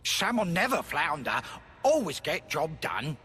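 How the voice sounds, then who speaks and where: A man's voice: on the high side, big pitch swings, measured pace, sharp tone, slight background noise, full character voice. A man voicing a small, eager creature engineer in a game, proud and cheerful, speaking in boastful broken third-person sentences.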